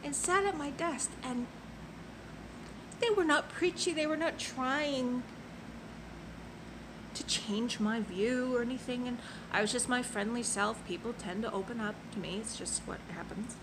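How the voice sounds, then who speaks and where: A woman telling a personal story in an earnest, impassioned way, swinging from soft to loud. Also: on the high side, measured pace, noisy background. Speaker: passport office clerk. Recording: loud music under the voice.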